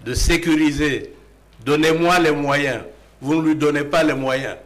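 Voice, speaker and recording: A man's voice: a little high, a little slow, a bit bright. A man with a Congolese accent, arguing emphatically as a panelist in a political debate and posing rhetorical questions.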